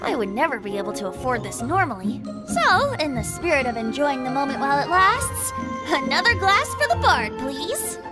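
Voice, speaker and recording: A woman's voice: sits high, big pitch swings, normal speed. A woman voicing a carefree young male bard in a video game, flowery and tipsy, over game music.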